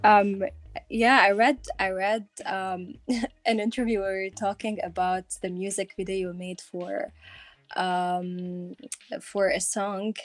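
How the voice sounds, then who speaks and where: A woman's voice: medium pitch, little pitch movement, takes it slowly, no echo. A woman hosting a podcast, asking a guest questions in a casual conversational way. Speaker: podcast host, interviewer.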